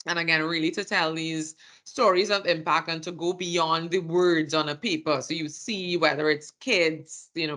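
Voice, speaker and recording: Trinidadian accent, workshop presenter, very bright tone, halting phrasing. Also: mid-range voice. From Trinidad and Tobago. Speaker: communications presenter.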